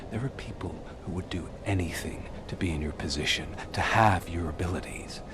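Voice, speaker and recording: An actor playing a calm, menacing crime boss in a video game, speaking slowly and condescendingly over noise. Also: male voice, sits low. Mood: calm menace. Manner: slow, deliberate, condescending. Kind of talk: video game cutscene acting.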